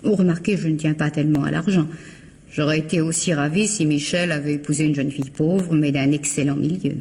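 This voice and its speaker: An actress playing a cold, haughty bourgeois sister-in-law in a French radio mystery, with a condescending, formal, clipped delivery. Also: female voice, sits low, fairly even intonation, brisk, dark tone, a little hiss or hum. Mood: cold, cutting.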